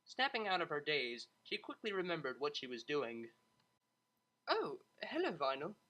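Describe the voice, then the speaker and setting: An expressive storyteller reads scripted fiction with a bright, crisp edge. The pitch sits in a middle register and moves around a lot, keeping the narration animated. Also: normal speed, low-quality recording.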